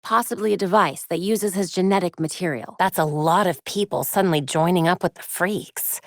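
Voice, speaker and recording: An American woman playing a young, wry, determined vigilante hacker in a video game, with quippy and expressive delivery. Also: lowish voice, moderate pace, no room sound, clean studio sound, natural voice. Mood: focused, investigative.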